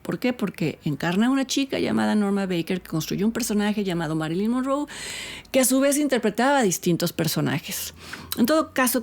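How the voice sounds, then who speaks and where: A woman film critic hosting a review segment, talking in a measured, reflective way with the odd filler. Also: mid-range voice, gravelly, darkish tone. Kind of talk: scripted film review commentary.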